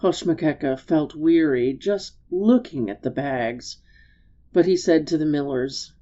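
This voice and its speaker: A woman narrating a storybook as a dramatic reading, measured and expressive. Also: low voice, dull audio.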